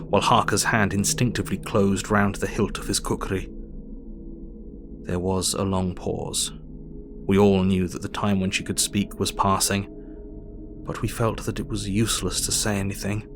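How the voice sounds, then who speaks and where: A man playing an earnest Victorian doctor, narrating his diary in a measured, formal way for an audio drama. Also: on the low side, level tone, over music, natural voice. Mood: sober, tense.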